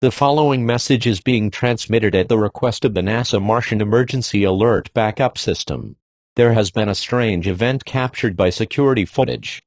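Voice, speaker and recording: A man's voice: fairly low pitch, moderate pace, no echo. A man reading a scripted emergency alert as an announcer.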